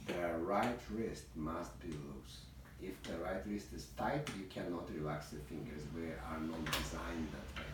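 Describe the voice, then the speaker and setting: A man's voice: low voice, a little slow. A middle-aged man with a Russian accent giving a patient, coaxing violin lesson in slightly broken English. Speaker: violin teacher. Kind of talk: private music lesson. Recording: echoey, distant and noisy room recording.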